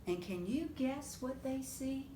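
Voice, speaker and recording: A woman reads a picture book to small children in a high voice and at an unrushed pace. She is expressive and animated, drawing listeners in with questions. The room adds noticeable echo. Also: slow. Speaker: preschool storytime reader. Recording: roomy echo with faint low rumble.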